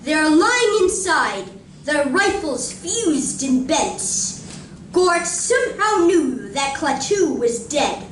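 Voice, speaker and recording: In a roomy space, some way from the microphone, a woman narrates a live radio drama. Her high voice moves with animated intonation as she reads slowly and theatrically. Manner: deliberate, theatrical. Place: library community room, live performance. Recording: roomy distant recording, faint noise.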